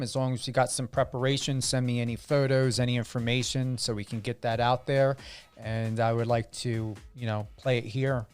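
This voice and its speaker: A man hosting a radio interview, upbeat and earnest, handing out shout-outs to listeners. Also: medium pitch, smooth. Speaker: radio host.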